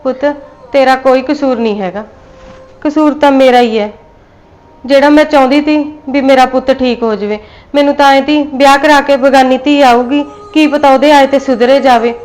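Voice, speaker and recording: A woman's voice: high-pitched, steady tone, moderate pace, pauses often, lightly acted. A woman playing a remorseful mother-in-law who pleads with her son's wife and tries to soothe her. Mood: pleading. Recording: faint music behind.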